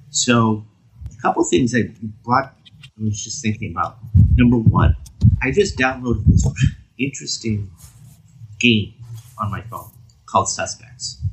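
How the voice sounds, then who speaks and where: Man, lowish pitch, casual podcast co-host, halting delivery, noisy recording with clicks. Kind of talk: casual discussion. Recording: clearly noisy background with clicks.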